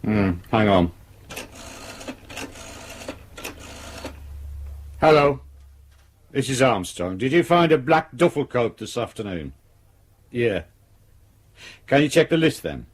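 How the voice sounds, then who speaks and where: A man's voice: medium pitch, big pitch swings, unhurried, long pauses, husky. A man acting in a radio drama as a domineering senior police detective, brusque and authoritative. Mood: brisk, businesslike.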